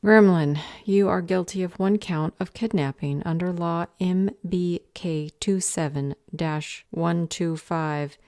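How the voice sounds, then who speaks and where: A woman playing a stern, bored council official in a fantasy audio drama, deadpan, clipped and sarcastic. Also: fairly low pitch, slow, few pauses, no echo, studio quality.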